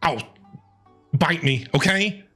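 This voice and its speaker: A woman's voice: deep voice, slow pace, pushed and bright, breathy edge. A woman streamer giving casual, sassy game commentary and playfully talking back to the characters. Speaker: gaming streamer. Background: game background music.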